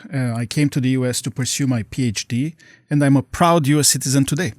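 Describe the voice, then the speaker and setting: A middle-aged man from Romania, a professor answering interview questions in measured, formal sentences. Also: mid-range voice, dry recording, studio quality. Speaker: guest professor.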